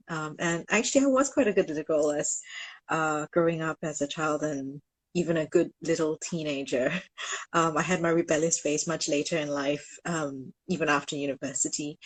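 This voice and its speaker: A woman in her forties from Singapore, an ethnomusicologist guest, answering at length in a thoughtful, self-deprecating way with many pauses and ums. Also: low voice, dry recording, rough recording. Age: 40s. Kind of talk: casual online interview. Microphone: video call.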